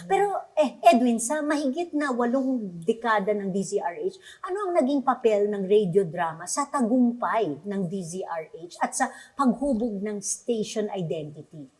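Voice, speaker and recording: A Filipino woman hosting a conversational interview program, with a slightly husky voice. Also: mid-range voice, very animated, normal speed, a little mellow. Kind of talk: conversational interview, mixing Tagalog and English.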